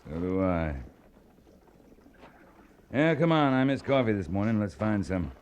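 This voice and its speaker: An actor playing a seasoned, gruff, dry cavalry captain in an old-time radio western. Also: male voice, on the low side, very animated, unhurried, darkish tone. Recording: noisy old radio drama recording.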